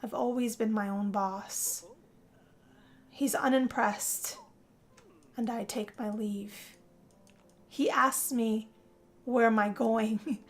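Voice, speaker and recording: Casting: newly turned vampire heroine played by a woman, proud and bitter, higher voice, measured theatrical narration for game story. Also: fairly even intonation. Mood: defiant, scheming. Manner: dramatic, deliberate. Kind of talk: scripted first-person story narration.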